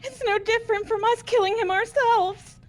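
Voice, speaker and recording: A woman plays a soft-hearted, timid student in a video game dub. The voice is extremely high and hesitant, weighed down by guilt, with music playing underneath. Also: steady tone, moderate pace. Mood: distraught. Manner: hesitant, repeating words. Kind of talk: amateur game voice acting.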